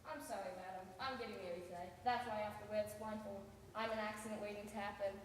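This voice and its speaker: A woman's voice: mid-range voice, steady tone, fast talker, natural voice. A woman playing an earnest, gullible and lovestruck young bridegroom, polite and guileless, in a stage musical comedy. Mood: polite, befuddled. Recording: echoey hall, faint background noise.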